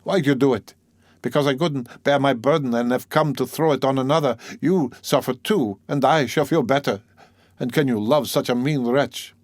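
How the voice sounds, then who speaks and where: A man reads as a young male killer tormented by guilt, in a storybook drama. He is bitter and self-loathing, speaking in agitated, halting bursts in a close, dry recording. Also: mid-range voice, dry recording, voice pushed up, close to an everyday voice. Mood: despairing. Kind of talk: dramatic audiobook character reading.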